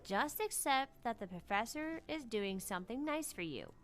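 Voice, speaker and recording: Bright, lively, higher-pitched woman. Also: normal speed. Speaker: let's play co-host voicing character dialogue. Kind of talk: expressive read-aloud of visual novel dialogue.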